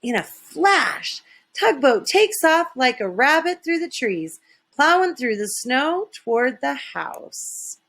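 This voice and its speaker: Animated, unhurried woman reading a children's story. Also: high-pitched. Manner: dramatic, emphatic. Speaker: storybook reader. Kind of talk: expressive children's story narration.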